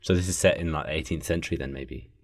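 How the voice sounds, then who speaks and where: A man's voice: a bass voice, quick pace, dry recording, clean studio sound. A man hosting a podcast, chatting casually and jokingly as he brainstorms with others. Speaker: podcast host. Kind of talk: casual comedic brainstorming chat.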